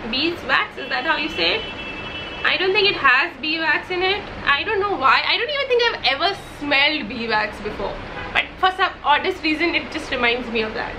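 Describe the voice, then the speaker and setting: Young mom vlogger, Hindi first language, bright high voice, upbeat and rambling, noisy home recording. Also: female voice. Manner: chatty, enthusiastic, many fillers and restarts. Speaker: vlogger, mother. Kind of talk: casual product favourites vlog.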